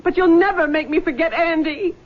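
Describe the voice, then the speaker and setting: An actress playing a haunted, distraught young daughter with intense, overwrought delivery in a 1970s radio drama. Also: female voice, extremely high pitch, brisk, noisy background. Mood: agitated, accusing. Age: young adult. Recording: dull lo-fi vintage radio recording.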